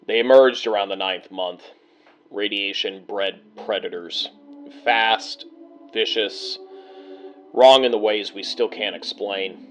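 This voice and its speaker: An actor playing a grim, worn-down male bunker survivor recording a personal log in an audio drama, speaking in terse, measured, bleak phrases with halting pauses. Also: sits low, fairly bright tone, highs cut off.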